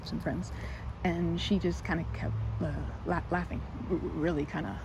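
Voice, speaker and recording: A woman's voice: fairly low pitch, fairly even intonation, moderate pace, close to an everyday voice. A woman playing a timid, sweet, awkward young woman in an ASMR roleplay, stammering and hesitant, with a giggly, flustered manner. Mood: chatty, animated. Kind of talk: ASMR roleplay, improvised-sounding one-sided conversation. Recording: muffled with loud outdoor ambience noise.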